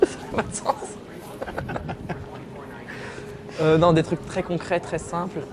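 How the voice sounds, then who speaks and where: A man's voice: high-pitched, flat delivery, slow pace, crowd noise. A young man, a comedian guest, chatting jokingly in an interview, teasing and self-mocking while lying back.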